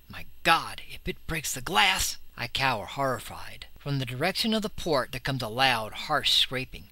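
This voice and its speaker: Bright, intense male horror story narrator. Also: mid-range voice, dry recording. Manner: intense, theatrical, suspenseful delivery. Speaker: audiobook reader.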